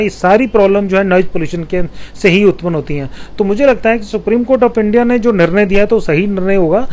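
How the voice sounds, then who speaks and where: A male councillor, answering a reporter's questions in a measured, explanatory way with frequent restarts. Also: sits high, darkish tone. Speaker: municipal councillor. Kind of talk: press interview answers.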